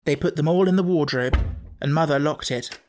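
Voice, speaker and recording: A man's voice: on the high side, fairly quick, darkish tone, soft background music. A man reading scripted narration for a children's audiobook, as its narrator.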